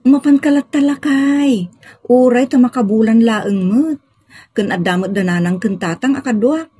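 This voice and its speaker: A woman playing a feisty village woman, colloquial and expressive, in an audio comedy drama. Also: fairly high pitch, moderate pace, breathy edge, no room sound. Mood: reassuring. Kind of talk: Ilocano radio-style comedy drama acting.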